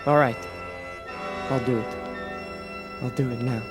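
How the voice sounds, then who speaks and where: Man playing a slow-spoken, intense young zealot. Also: medium pitch, steady tone, over music, close to an everyday voice. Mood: uneasy, submissive. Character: intense, zealous young male demagogue, easily led. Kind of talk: radio drama acting.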